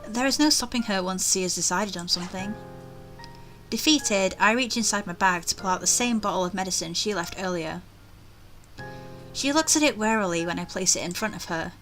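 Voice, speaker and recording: A woman's voice: mid-range voice, lively intonation, a little fast, fairly bright tone. A woman gamer reading visual novel narration aloud, with casual commentary. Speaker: gaming video creator. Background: faint music.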